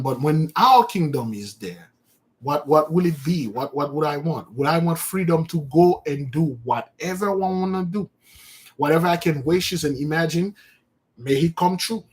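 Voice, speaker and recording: A man with as his giving an informal spiritual talk to his followers, animated, repeating words and calling out to his listeners. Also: on the high side. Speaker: spiritual teacher.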